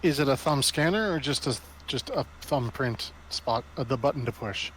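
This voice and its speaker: A man's voice: mid-range voice, animated, normal speed, a bit bright, slight background noise, low-quality recording. A man playing a tabletop roleplaying game, talking casually with the others.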